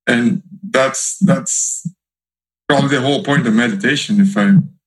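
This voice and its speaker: Halting man. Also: medium pitch, soft background music, poor audio. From Brazil. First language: Portuguese. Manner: thoughtful, halting, repeats words while searching. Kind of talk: casual group discussion.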